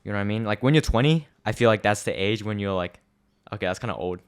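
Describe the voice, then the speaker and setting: Low, smooth-voiced young man musing. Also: expressive, quick pace. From Australia. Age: young adult. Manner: rambling, filler-heavy, earnest and reflective. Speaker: podcast co-host. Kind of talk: casual chat.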